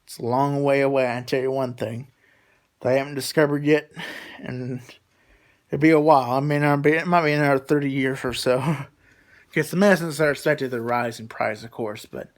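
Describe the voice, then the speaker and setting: A man's voice: mid-range voice, moderate pace. A man in his late twenties chatting casually in a rambling way, repeating himself and drifting between thoughts as he talks to his subscribers. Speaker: vlogger talking to subscribers.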